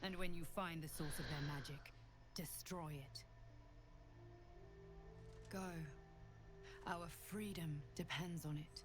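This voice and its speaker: Low-voiced, commanding dream guardian. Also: female voice, takes it slowly, long pauses, over music. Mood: urgent, commanding. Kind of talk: video game cutscene acting.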